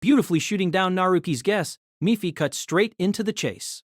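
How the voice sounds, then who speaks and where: A narrator reading a novel aloud in an expressive storytelling manner. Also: mid-range voice, fairly quick, no echo, studio quality.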